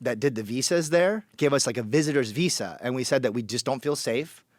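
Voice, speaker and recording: A man's voice: medium pitch, a little fast, no room sound, studio quality. A man from Colombia telling his story as a podcast guest, blunt and joking, acting out the people he quotes. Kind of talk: casual storytelling.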